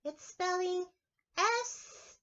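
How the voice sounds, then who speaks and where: A woman voicing an excitable, friendly female spider puppet in a playful preschool show, chirpy, inquisitive and given to exclaiming. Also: extremely high pitch, drawn-out words, lo-fi sound, voice pushed up, exaggerated voice. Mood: excited.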